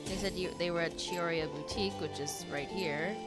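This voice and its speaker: A woman streamer giving casual, excitable reaction commentary, talking back to the game she is playing. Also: lowish voice, moderate pace. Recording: game music behind the voice.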